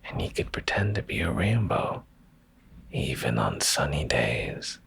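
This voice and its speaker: A man with a rock-bottom, heavily fried voice reads in a hushed bedtime ASMR style. His delivery is unhurried and drawn out, with soft, soothing phrasing right up against the microphone. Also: very animated. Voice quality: very deep, gravelly, breathy near-whisper. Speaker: ASMR streamer and bedtime story reader. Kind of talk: ASMR, slow soft bedtime story reading. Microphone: close ASMR microphone.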